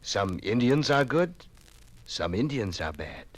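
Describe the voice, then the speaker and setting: An actor playing a courteous pioneer missionary in a 1950s radio drama, speaking in a patient, formal, pious way. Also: male voice, mid-range voice, sing-song, a little slow. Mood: earnest.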